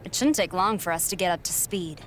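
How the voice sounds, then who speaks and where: A woman's voice: on the low side, fairly quick, soft background music, lightly acted. A woman playing a self-assured, cocky female wrestler in a video game cutscene. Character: confident, cocky young female wrestler, the new ally.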